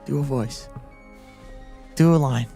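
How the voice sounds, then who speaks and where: A man's voice: medium pitch, slow, vocal fry, warm tone, breathy edge, soft background music. A man on an esports analyst panel, joking around and swearing casually. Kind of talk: casual studio panel banter.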